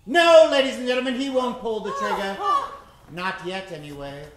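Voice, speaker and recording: A man hosting an old-time radio horror show, narrating in a melodramatic, ominous way with drawn-out dramatic emphasis. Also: very high-pitched, crowd noise. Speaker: host and announcer of a live radio drama show. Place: live stage show before an audience. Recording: roomy live stage recording with music. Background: music.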